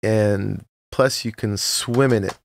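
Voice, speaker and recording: A man with a slightly froggy morning voice, narrating a relaxed, rambling tour of his game world with many "uh"s and short laughs. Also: fairly low pitch, slow pace, vocal fry. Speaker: Minecraft let's-play host. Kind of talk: casual gameplay tour narration.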